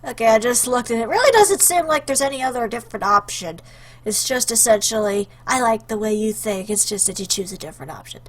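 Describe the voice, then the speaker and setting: High-voiced woman doing playful game commentary. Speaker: gaming video host. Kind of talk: casual playthrough commentary.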